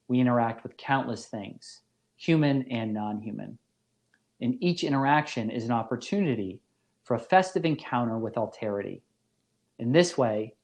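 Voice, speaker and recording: Measured male lecturer, formal and deliberate. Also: medium pitch, darkish tone. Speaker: lecturer, professor. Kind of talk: scripted philosophy lecture.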